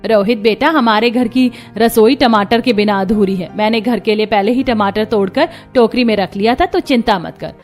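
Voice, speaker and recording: A woman's voice: on the high side, moderate pace, hardly any pauses, natural voice. A woman playing a caring, practical middle-aged village mother-in-law in a cartoon story, motherly and instructing. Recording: music behind the voice.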